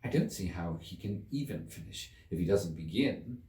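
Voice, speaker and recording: A man's voice: deep voice, animated, moderate pace, warm tone, roomy sound, recorded from a distance. A man voicing a polite, puzzled young girl in a storybook, with a mild and curious manner.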